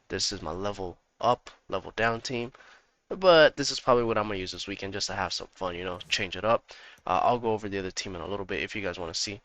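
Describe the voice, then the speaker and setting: A man hosting a gaming channel, walking viewers through his tactics in a casual, rambling way that repeats itself, his voice slightly hoarse from being sick. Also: on the low side, pushed and bright. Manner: rambling, repeats himself, talks straight to viewers.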